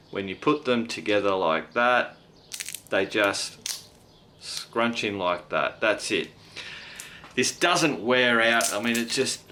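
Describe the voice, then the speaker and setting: A man's voice: mid-range voice, fairly bright tone, a little hiss or hum. An Australian man giving a casual hands-on product review in a blokey, slangy, mildly sweary way. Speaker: product review video host.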